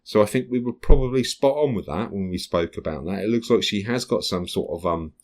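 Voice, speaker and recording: A man hosting a casual fan podcast, reading news items and musing with frequent ums and hedges. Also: fairly quick. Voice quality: low voice, hesitant with many ums. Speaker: podcast host.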